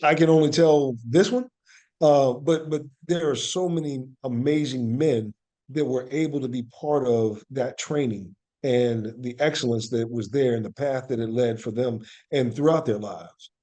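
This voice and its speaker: A man's voice: medium pitch, moderate pace, mellow. A man speaking as a guest in a conversational podcast interview, telling things in an earnest, reflective way and repeating phrases for emphasis. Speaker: interview guest, actor and playwright.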